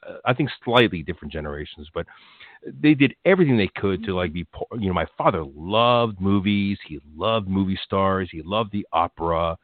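A man's voice: low-pitched, lively intonation, fairly quick, no room sound. A man interviewing a guest in a casual, rambling way, restarting his questions often. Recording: tinny phone-like line.

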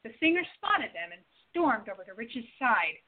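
Highish-voiced woman narrating a radio play. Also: sing-song, moderate pace. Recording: tinny narrow-band phone-like audio.

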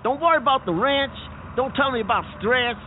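A man's voice: very high-pitched, fairly quick. A man voicing a frantic male bean who runs a garage, stammering and breathless, with folksy, ungrammatical talk. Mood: alarmed. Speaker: garage owner. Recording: tinny phone-like sound, faint noise.

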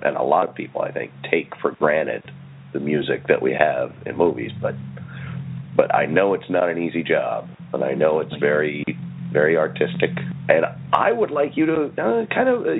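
A man hosting a podcast, chatting and interviewing in a casual, joking way. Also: rumbling low. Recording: thin phone-like sound, very dry.

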